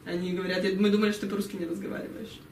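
A woman's voice: medium pitch, flat delivery, very fast, a little mellow. A woman in her early twenties from Ukraine, chatting casually as an interview guest, often chuckling and now and then dropping in English words. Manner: giggly, chuckles often while talking. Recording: echoey room, distant mic, faint noise.

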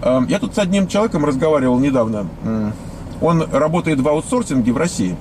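A man's voice: on the high side, animated, fast talker. A man in his fifties from the Soviet Union with a Russian accent, giving a blunt, scornful monologue to viewers, full of hesitations. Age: 50s. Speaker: vlogger. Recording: loud outdoor traffic noise.

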